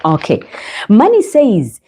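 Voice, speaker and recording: Uma mulher do Uganda, com sotaque ugandense, apresentando um podcast cristão ao vivo, em tom sério e pregador, falando diretamente aos ouvintes. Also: female voice, medium pitch, huge pitch swings, slow, dark tone, breathy edge. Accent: Ugandan English. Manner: earnest, preachy, addresses viewers as guys. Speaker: podcast host. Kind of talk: live faith podcast monologue. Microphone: own microphone, sometimes adjusted.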